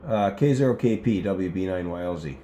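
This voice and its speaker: A man's voice: sits low, lively intonation, a little fast, very noisy. A man talking as an amateur radio operator in a casual, informal and rambling chat.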